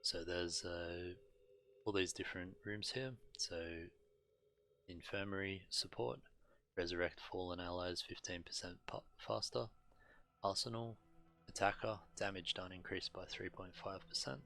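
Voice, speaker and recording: A man giving casual, matter-of-fact and slightly halting gameplay commentary as a streamer, reading on-screen text aloud. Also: low-pitched, steady tone, gravelly, sharp tone. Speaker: game streamer.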